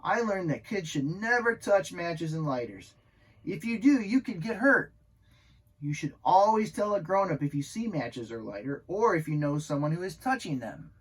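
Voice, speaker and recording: Man reading as earnest young storybook dog, husky. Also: high voice, big pitch swings, normal speed, heavily acted, low-quality recording. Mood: earnest advice. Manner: earnest, simple, addressing children. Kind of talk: children's storybook read aloud.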